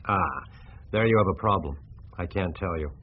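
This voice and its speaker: Smooth-dealing city man, radio drama. Low pitch, measured, confident; slightly dull recording. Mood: cool, businesslike. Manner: measured, assured, clipped. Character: cool, confident city-bred male deal-maker, younger.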